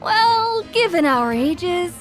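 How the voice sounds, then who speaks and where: A woman's voice: extremely high pitch, very animated, slow pace, heavily acted. A woman voicing a cheerful, gossipy young tavern keeper in a video game. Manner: friendly, gossipy. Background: game music.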